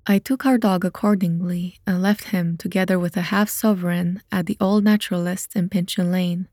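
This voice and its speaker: A woman's voice: fairly low pitch, steady tone, moderate pace, mellow, no echo, clean studio sound. A woman reading scripted narration for an audio drama as its narrator. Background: music.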